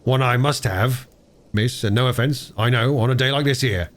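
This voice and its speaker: A man's voice: medium pitch, moderate pace, a little hiss or hum, close to an everyday voice. A man doing a homely old railway porter. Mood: joyful. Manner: homely, rough-spoken. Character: kindly working-class railway porter, middle-aged man.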